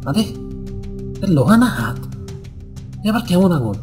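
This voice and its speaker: An actor playing a greedy, callous, lecherous villager and killer in a horror cartoon, exclaiming and muttering theatrically. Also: male voice, high voice, very animated, a little slow, faint music behind. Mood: frustrated, threatening.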